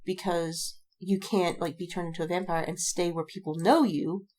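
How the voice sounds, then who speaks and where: A woman's voice: lowish voice, steady tone, moderate pace, a little mellow. A woman podcast co-host chatting casually, telling stories in a rambling way with acted-out quotes and frequent swearing. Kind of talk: casual chat.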